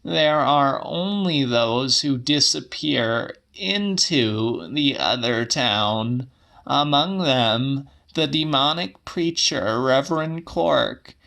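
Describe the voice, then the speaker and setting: A man voicing a stubborn, scornful old woman in a dramatic audiobook, speaking slowly and emphatically. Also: medium pitch, animated, gravelly, pushed and bright, voice pushed up, heavily acted. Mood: scornful, insistent.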